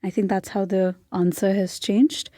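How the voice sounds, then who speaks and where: A woman's voice: mid-range voice, steady tone, normal speed, warm tone, no room sound, clean studio sound. A young adult woman from India, speaking with an Indian accent, answers interview questions in a thoughtful, searching way and often restarts her sentences. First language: Hindi. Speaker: podcast guest. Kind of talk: reflective conversational interview.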